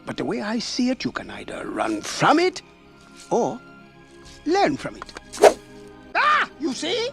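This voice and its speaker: An actor playing an eccentric, playful old male mandrill sage in an animated film, with a singsong, teasing delivery and sudden pitch jumps. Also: measured pace, vocal fry, pauses often, over loud music, heavily acted. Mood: teasing wise.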